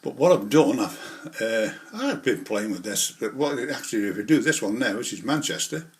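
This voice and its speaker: A man's voice: mid-range voice, lively intonation, moderate pace, slight background noise. A man presenting an informal hobby tutorial, explaining things step by step in a rambling, hands-on way. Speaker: model railway hobbyist presenter.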